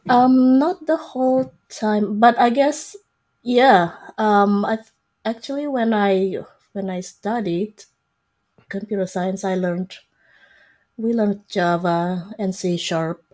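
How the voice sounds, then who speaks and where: A woman's voice: medium pitch, takes it slowly, darkish tone. A woman in her forties with an Indonesian accent, explaining things casually as an interview guest. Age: 40s. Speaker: interview guest, software developer.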